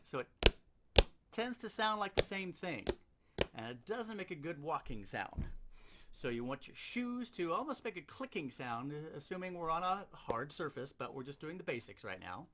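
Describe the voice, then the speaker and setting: A man's voice: fairly high pitch, very animated, normal speed, heavy background noise. A man giving an instructional demonstration as a sound effects teacher, explaining things step by step and repeating key points.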